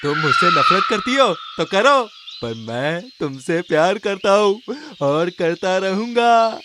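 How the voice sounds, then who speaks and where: Man playing a slow, menacing male ghost. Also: high-pitched, expressive, noisy background. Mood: obsessive, insistent. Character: possessive, menacing ghost of a young man obsessed with Nisha. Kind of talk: cartoon horror story acting.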